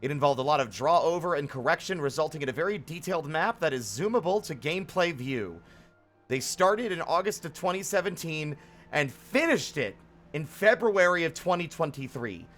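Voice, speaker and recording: A man's voice: a little high, animated, moderate pace, fairly bright tone. A man giving casual live commentary as a game streamer, rambling and thinking aloud as he goes.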